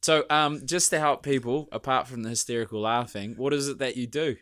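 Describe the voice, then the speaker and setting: A New man hosts a podcast in a loose, rambling, chatty way and laughs easily. His voice is bright and clear, recorded dry and close with no room sound. He sounds at ease. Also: mid-range voice, brisk, dry recording. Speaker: podcast host. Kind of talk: casual podcast chat.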